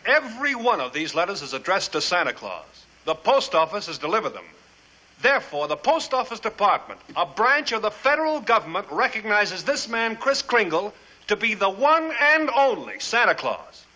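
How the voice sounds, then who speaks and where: A man performs an impassioned courtroom lawyer in a radio play. The high, forceful voice swings widely as it declaims each point emphatically. The recording is somewhat dull. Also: big pitch swings, normal speed, exaggerated voice. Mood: impassioned, triumphant. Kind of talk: theatrical radio play acting.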